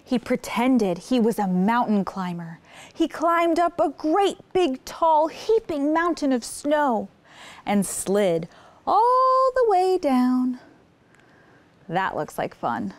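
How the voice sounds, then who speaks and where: A woman's voice: high-pitched, sing-song, slow pace, no room sound. A woman hosting story time, reading a picture book aloud expressively and putting simple questions to young listeners. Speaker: children's story time host.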